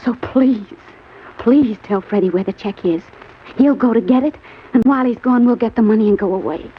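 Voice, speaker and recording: A fairly high-voiced scheming femme fatale acted by a woman in a vintage radio thriller. She is earnest and melodramatic, always imploring, on a muffled recording with faint noise. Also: moderate pace, natural voice. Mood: desperate, pleading. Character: pleading, scheming female accomplice professing love. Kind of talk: old-time radio crime drama acting.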